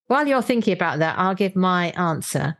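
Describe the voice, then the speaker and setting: A woman's voice: lowish voice, sing-song, a little fast, a bit bright. A woman, speaking as a podcast host in a conversational style. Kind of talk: conversational podcast interview and promo.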